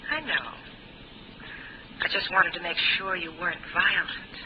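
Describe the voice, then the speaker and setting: An actress playing a tough, blunt fugitive in a 1950s radio drama, with a brisk, challenging, no-nonsense manner. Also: female voice, lowish voice, lightly acted. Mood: blunt, insistent. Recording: muffled, hissy 1950s radio broadcast.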